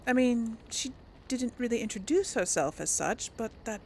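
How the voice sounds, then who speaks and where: A woman's voice: on the high side, expressive, moderate pace, mellow, a little hiss or hum. A woman doing casual let's-play commentary, musing aloud and replying in role to game characters. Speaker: gaming host role-playing through a game.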